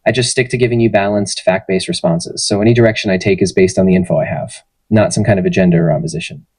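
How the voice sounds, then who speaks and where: Fast, husky synthetic male assistant voice. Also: low-pitched, no echo. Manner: friendly, even, polished delivery. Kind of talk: conversational answers to interview questions.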